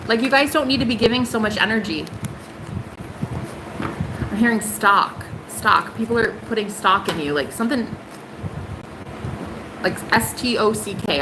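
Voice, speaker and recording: Young woman, sassy livestream tarot reader, mid-pitched voice, steady room noise behind. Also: unhurried, long pauses. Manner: sassy and emphatic, swings high for punchlines. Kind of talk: casual livestream tarot reading.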